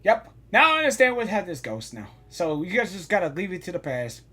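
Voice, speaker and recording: A woman's voice: on the low side, sing-song, fairly quick, pushed and bright, husky. A woman giving casual, offhand reaction commentary while playing a story game. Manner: informal, offhand remarks. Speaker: reaction video creator.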